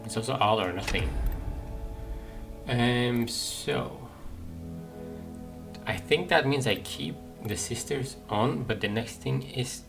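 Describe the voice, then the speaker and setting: A man's voice: medium pitch, slow, vocal fry, long pauses. A man doing casual let's play commentary, musing tentatively and thinking aloud as a gaming streamer. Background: game music.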